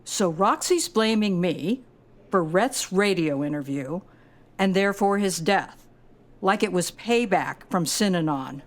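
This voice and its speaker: An actress playing a protective, troubled, tough-minded granddaughter in an audio drama, earnest in manner. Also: female voice, fairly low pitch, lively intonation, a little slow. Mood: defensive.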